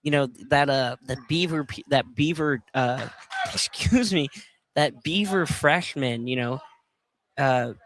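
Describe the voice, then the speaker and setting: A man's voice: a little high, slow, background noise. A man giving casual color commentary during a live basketball game. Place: college basketball field house.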